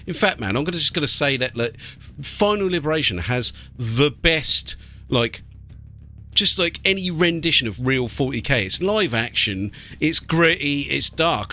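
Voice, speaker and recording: A man's voice: fairly high pitch, bright, forceful tone. A British man giving casual gameplay commentary with blokey, sweary banter. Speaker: let's play host. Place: small warm room indoors. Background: game music.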